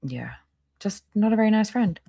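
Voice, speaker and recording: A woman's voice: mid-range voice, lively intonation, normal speed, vocal fry, dark tone. A woman live streamer giving casual commentary and reading out chat, with a disapproving, dryly sarcastic manner.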